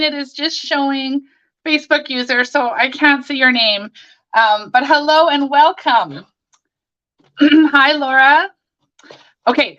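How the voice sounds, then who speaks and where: Hoarse woman, bright voice, chatty live crafting demo, slightly dull audio. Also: sits high. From Canada. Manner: chatty, greets viewers by name, talks while working. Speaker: stamping demonstrator hosting a live stream.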